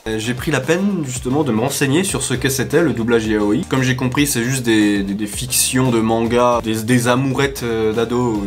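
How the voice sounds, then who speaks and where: Brief: easygoing man with a medium pitch, joking and hesitant, studio mic, faint music behind. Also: normal speed, few pauses. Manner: relaxed and joking, with frequent hesitations. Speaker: YouTube voice actor answering fan questions. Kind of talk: casual chat. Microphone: studio condenser mic.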